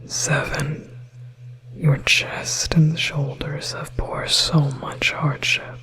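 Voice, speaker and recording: A performer voicing a possessive, coaxing demon in a whispered ASMR hypnosis roleplay, breathy, soothing and hypnotic. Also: mid-range voice, flat delivery, very slow, low-quality recording.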